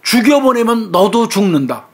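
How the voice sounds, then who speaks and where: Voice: medium pitch, very animated, normal speed. Speaker with a Chungcheong Korean accent. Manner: conversational, with frequent fillers and murmured agreement. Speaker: crime commentator. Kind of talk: casual true-crime discussion.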